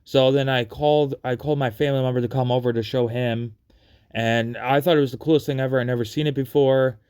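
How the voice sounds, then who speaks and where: A man with a clean, smooth voice tells stories casually. His pitch stays flat and fairly narrow as he rambles along, halting and circling back over the same phrases. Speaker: storyteller. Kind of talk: casual personal storytelling.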